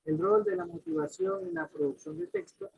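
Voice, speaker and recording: A man teaching a class in a loose, chatty lecture, his voice leaping between high and low. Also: animated, moderate pace, gravelly, narrow, tinny audio.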